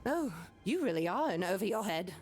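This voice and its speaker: A woman's voice: medium pitch, big pitch swings, fairly quick, a little mellow, soft background music, natural voice. A woman voicing a brusque, eerie townswoman in a video game, theatrical and ominous. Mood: brusque, dismissive. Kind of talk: video game character acting.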